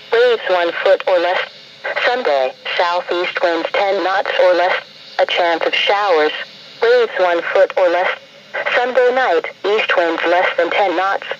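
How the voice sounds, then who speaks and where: A synthesized announcer reading a scripted forecast in clipped, impersonal phrases over weather radio. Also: mid-range voice. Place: played through a handheld radio indoors. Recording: muffled, noisy weather radio broadcast.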